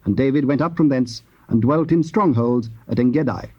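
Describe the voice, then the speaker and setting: A man narrating dramatized scripture in a formal, solemn and measured storytelling style. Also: mid-range voice, slightly rough. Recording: muffled, hissy old cassette tape.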